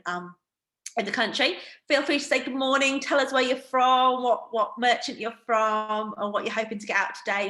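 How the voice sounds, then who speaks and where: Woman, Northeast English accent, middle pitch, chatty online presenter, roomy home sound. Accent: Northeast England. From Northeast England. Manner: chatty, self-deprecating, lively. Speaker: wine educator. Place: home room. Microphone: video call.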